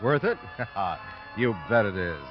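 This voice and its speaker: A man's voice: lowish voice, sing-song, a little slow. An American man announcing for network radio in a polished, promotional 1950s style. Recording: muffled vintage radio recording with music.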